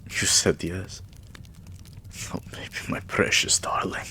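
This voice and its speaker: A man playing an adoring, weary vampire king in a romantic ASMR roleplay, murmuring tenderly and intimately in a breathy, gravelly half-whisper. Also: slow pace, pushed and bright, slight background noise. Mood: overjoyed.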